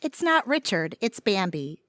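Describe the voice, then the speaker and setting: A woman's voice: mid-range voice, very animated, unhurried, a bit bright, dry recording, clean studio sound. A woman playing an earnest, naively blunt young woman in a comedy audio drama, speaking in a precise, polite, upbeat way.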